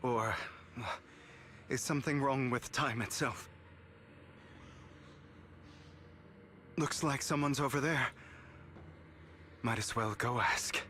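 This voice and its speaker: A man playing a proud young man with a bitter past in a video game, measured, self-assured and dramatic. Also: mid-range voice, bright, forceful tone, a little hiss or hum. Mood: puzzled.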